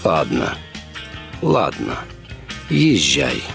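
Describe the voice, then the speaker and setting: A man's voice: very deep voice, huge pitch swings, very slow, vocal fry, music in the background, full character voice. An actor playing a big, agreeable elderly father in a radio drama, speaking in short reassuring phrases.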